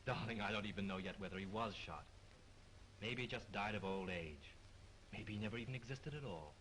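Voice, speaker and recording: An actor playing a calm, wry man in a 1930s film, speaking quietly with dry humor. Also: lowish voice, fairly quick, lightly acted, rough recording. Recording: hissy 1930s film soundtrack.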